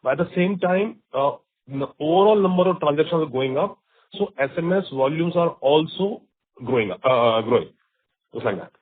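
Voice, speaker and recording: A man with an Indian accent and a husky voice, an executive explaining on an earnings call and often checking with short tags. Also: a little high, expressive, normal speed. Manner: explanatory, checks with right and okay. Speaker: company executive. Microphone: conference call line. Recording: thin phone-line sound.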